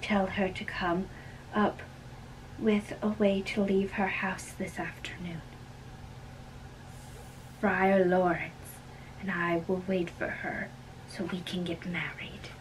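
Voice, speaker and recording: A woman's voice: fairly low pitch, little pitch movement, takes it slowly, long pauses, a little hiss or hum, pitched below the performer's own voice, poor audio. A woman acting an earnest, lovesick young man in an amateur dramatic reading of a classic play. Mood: earnest, hushed. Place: small bedroom.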